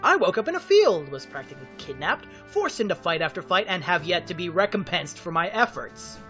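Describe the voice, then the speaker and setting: A man acting a wry, quick-witted young tactician in an audio drama, with dry, teasing delivery. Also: high voice, big pitch swings, pushed and bright, heavily acted. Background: music.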